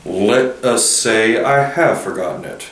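Storybook lion ruler voiced by a man: solemn, measured, commanding, calm authority, roomy sound. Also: medium pitch, recorded from a distance. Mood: grave. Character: grave, majestic male lion king, calm authority.

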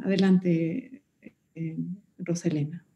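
A woman moderating a conference panel in a measured way, handing the floor to the next speakers. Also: fairly low pitch, fairly even intonation, dark tone, highs cut off.

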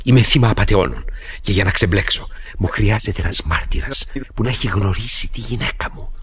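An actor playing an anxious, desperate man who keeps pleading, with a husky voice, in an old radio play. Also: fairly low pitch, a bit bright, close to an everyday voice. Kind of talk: Greek radio theatre acting.

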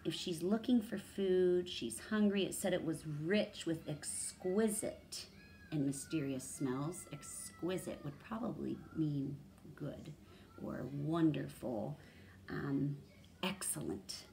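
Low-pitched woman reading to children. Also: takes it slowly, gravelly, warm tone, slight background noise. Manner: instructional, thinking aloud. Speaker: read-aloud teacher. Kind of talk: animated children's story reading with word explanations. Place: small room at home, indoors.

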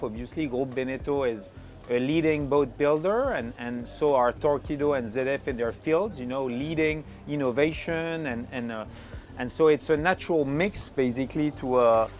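A man in his forties speaks on a lapel mic inside a boat's salon. He talks upbeat and fluently, leaning on fillers like "obviously" and "basically". Light music plays faintly behind him. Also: medium pitch. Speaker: boat brand manager interviewed. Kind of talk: interview answers.

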